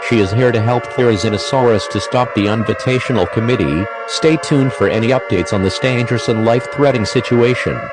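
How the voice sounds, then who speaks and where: A man's voice: mid-range voice, fairly quick, few pauses, lightly acted. A man voicing a formal emergency alert announcer reading a scripted broadcast warning. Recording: noisy emergency broadcast audio.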